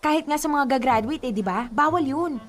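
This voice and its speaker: Radio drama actress as superstitious young fiancée: high voice, Filipino accent, stubborn, exasperated delivery over soft music. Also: female voice, a little fast, natural voice. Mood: playful, chiding. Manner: scolding, insistent, exasperated. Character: superstitious, stubborn young bride-to-be, bossy and anxious.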